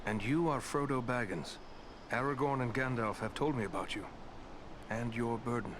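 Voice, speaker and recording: An actor playing an earnest human ranger in a fantasy game, speaking formally and steadily with polite courtesy. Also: male voice, medium pitch, moderate pace, close to an everyday voice. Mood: gracious. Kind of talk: fantasy video game character acting. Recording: clear background ambient noise.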